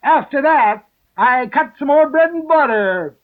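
A wretched, fidgety hatter from a storybook. The voice is pitched extremely high, trembling and faltering as it pleads like a humble soul before a tyrant. It comes through tinny and phone-like. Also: male voice, expressive, measured pace. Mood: flustered. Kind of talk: storybook character voice.

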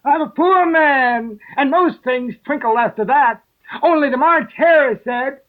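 Shrill, trembling storybook hatter. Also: male voice, measured pace. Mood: trembling, pleading. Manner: trembling, faltering, pleading. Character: wretched, fidgety, frightened hatter, a humble man. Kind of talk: storybook character voice. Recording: tinny, phone-like lo-fi recording.

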